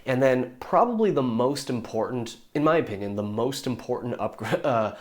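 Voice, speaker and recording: A young man with a hoarse, worn voice talks straight to his viewers in a casual, rambling way. He sits at an ordinary middle pitch and gets keen and excited as he goes. He keeps restarting his sentences and filling gaps with little fillers. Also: moderate pace. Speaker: YouTube car reviewer. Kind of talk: casual explainer talk.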